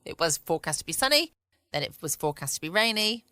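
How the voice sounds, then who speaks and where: Lady rambling on her night podcast, bright voice, up and down, super dry close sound. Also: lowish voice, very animated, fast talker, dry recording. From United Kingdom. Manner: rambling, musing aloud. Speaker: podcast host. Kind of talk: casual bedtime chat. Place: quiet room at home. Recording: close, dry home recording.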